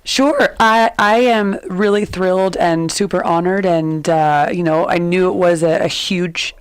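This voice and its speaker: A woman's voice: fairly low pitch, fairly even intonation, unhurried, clear, resonant tone, dry recording. Chatty woman guest, dry close sound. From United States. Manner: eager, chatty, many fillers. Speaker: nonprofit executive director, guest. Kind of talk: conversational interview answers.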